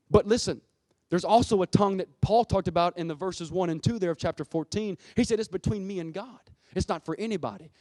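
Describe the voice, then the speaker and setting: A man's voice: high-pitched, darkish tone, no room sound, clean studio sound. A man preaching an impassioned Pentecostal sermon, emphatic and exhorting, repeating phrases and throwing out rhetorical questions.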